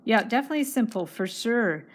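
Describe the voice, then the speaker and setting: Woman, voice going, chatty livestream host, somewhat high-pitched. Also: expressive, brisk. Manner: chatty, reads viewer comments aloud. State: losing her voice. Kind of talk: casual craft tutorial livestream.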